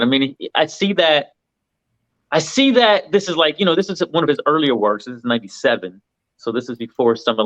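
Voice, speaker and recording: A man of medium pitch chats casually as a film panelist. The recording is dry and slightly dull. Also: fairly bright tone, no echo.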